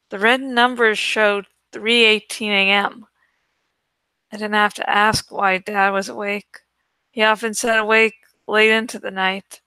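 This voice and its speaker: A woman reading a first-person novel aloud in an expressive, dramatic way. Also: medium pitch, smooth, dry recording, rough recording. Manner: expressive, acts out the story. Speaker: audiobook reader.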